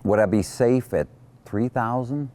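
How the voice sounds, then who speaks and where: A man's voice: mid-range voice, a little slow, dark tone. A man hosting a farm show, interviewing a guest and narrating with folksy interjections.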